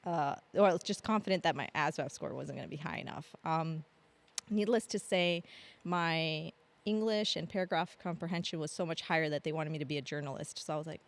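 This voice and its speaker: Low-voiced chatty woman guest. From grew up in Argentina. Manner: chatty, laughs often, many ums. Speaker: podcast guest, Air National Guard staff sergeant. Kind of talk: casual interview storytelling.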